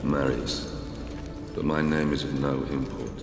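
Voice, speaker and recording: An actor playing a stoic, battle-hardened Roman soldier in a video game, speaking in a grave, measured storytelling manner. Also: male voice, extremely low pitch, little pitch movement. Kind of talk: dramatic video game acting. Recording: reverberant hall, music behind.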